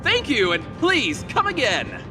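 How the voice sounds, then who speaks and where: Boisterous market weapons seller, slow showy patter, very animated, fawning, video game character. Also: male voice, extremely high pitch, music in the background, full character voice. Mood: flattering. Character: boisterous, fawning male weapons merchant hawking wares.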